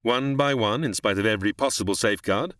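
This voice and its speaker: A man's voice: fairly low pitch, quick pace, fairly bright tone, no echo, clean studio sound, close to an everyday voice. Man acting a formal, guarded middle-aged scientist. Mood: grave, haunted. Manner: formal, articulate, theatrical. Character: cultured, guarded middle-aged scientist, widowed father on remote planet. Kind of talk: old-time radio sci-fi acting.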